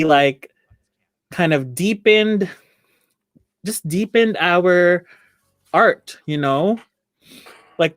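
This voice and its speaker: Eager woman, unhurried talk. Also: low voice, no room sound. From Philippines. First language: Filipino. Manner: earnest, enthusiastic, many fillers like "like" and "you know". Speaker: dance company member. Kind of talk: casual group chat.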